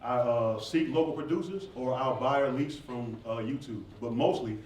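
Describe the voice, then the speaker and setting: A man's voice: medium pitch, normal speed. A man answers audience questions as a performer, telling stories in a casual, joking, informal way. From southern United States. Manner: joking, informal, animated storyteller. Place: echoey indoor event space with audience. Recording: echoey room, distant mic, background noise.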